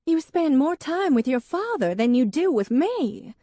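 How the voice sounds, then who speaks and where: A woman's voice: very animated, unhurried, dark tone, dry recording, clean studio sound. A woman voicing a dramatic, defensive young wife who turns tearful and pleading. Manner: shrill, pleading, emotional.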